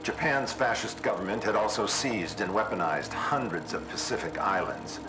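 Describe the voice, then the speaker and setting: A man's voice: lowish voice, animated, moderate pace, rough recording. A man giving scripted, dramatic historical narration in a grave, formal manner. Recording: music behind the voice.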